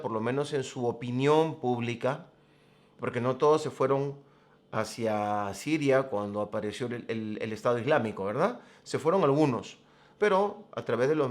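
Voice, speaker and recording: A man hosting a programme, giving commentary in a deliberate, emphatic, explanatory way. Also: medium pitch. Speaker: host of a religious current-affairs programme. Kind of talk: spoken commentary to camera.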